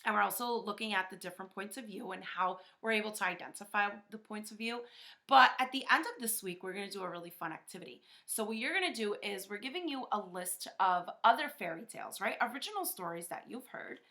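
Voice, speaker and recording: A woman's voice: mid-range voice, lively intonation, fast talker, sharp tone. A woman teacher talking to young pupils in a conversational way, often checking in with a short questioning tag. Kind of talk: children's lesson talk.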